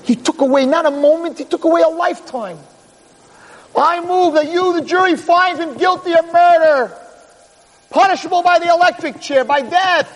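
A man's voice: very high voice, normal speed, pitched above the performer's own voice, low-quality recording. A man playing an earnest underdog assistant prosecutor, pleading with rising oratory in a courtroom story. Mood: impassioned. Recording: clear background noise.

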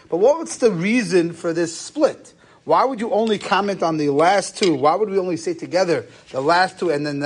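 A man gives an informal lecture on religious texts. He reasons aloud in questions and answers, dropping Hebrew terms into his sentences as he goes. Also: sits high. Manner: question-and-answer explaining, Yeshivish phrasing with Hebrew terms mixed in. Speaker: rabbi giving a lecture.

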